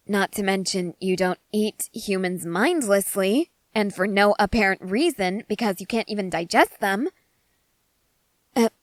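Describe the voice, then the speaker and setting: An eager scientist soldier played by a woman in an audio roleplay, talking straight to the listener. She is excitable and earnest, leaning on key words and halting between thoughts. The voice sits at a middle pitch in a close, dry recording. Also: no room sound, close to an everyday voice. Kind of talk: ASMR character roleplay addressed to the listener.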